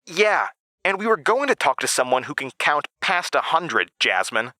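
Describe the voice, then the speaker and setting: A man's voice: fairly high pitch, big pitch swings, normal speed, bright, forceful tone, no echo, clean studio sound, voice pushed up. A man voicing an eager, defiant, nerdy thirteen-year-old boy with indignant retorts in a horror audio drama.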